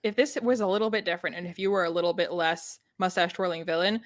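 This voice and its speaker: A woman's voice: lowish voice, fairly even intonation, fast talker, no room sound, studio quality. A woman chatting casually and animatedly about books on a livestream, giggling and acting out quotes as she goes. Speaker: book discussion co-host. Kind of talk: casual livestream book chat.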